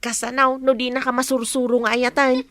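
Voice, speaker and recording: A woman's voice: sits high, little pitch movement, brisk, fairly bright tone, dry recording. An actress playing an earnest, caring older woman who gives emotive advice in a radio soap opera. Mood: admonishing.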